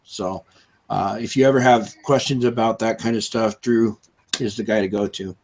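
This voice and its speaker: A man's voice: lowish voice, steady tone, moderate pace, a little hiss or hum. A man with a chest cold co-hosting a live stream over a video call, chatty and upbeat with lots of fillers. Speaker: co-host. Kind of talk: casual live-stream chat.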